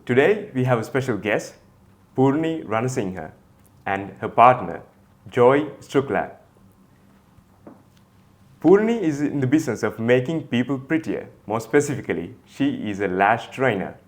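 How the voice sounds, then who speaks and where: A man hosting an interview, asking questions in slow, formal phrasing. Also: medium pitch, sing-song.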